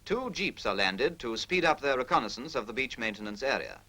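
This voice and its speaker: A man's voice: medium pitch, very animated, a little fast, bright, forceful tone. A British man reading formal scripted narration in the manner of a 1940s military training film, precise, instructional and impersonal.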